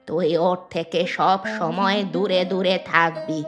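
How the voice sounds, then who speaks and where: Cartoon village grandmother, played by a woman: elderly, low, unhurried, cautious, gently scolding. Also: fairly even intonation, over music. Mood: worried, scolding. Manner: scolding, admonishing. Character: cautious old village granny, protective. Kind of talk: Bengali cartoon story dialogue.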